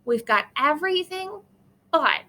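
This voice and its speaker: A woman voicing a steady, reassuring old farm grandmother from a storybook, with an encouraging, emphatic delivery. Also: sits high, measured pace, a little hiss or hum, voice pushed up, exaggerated voice. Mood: brisk, urging.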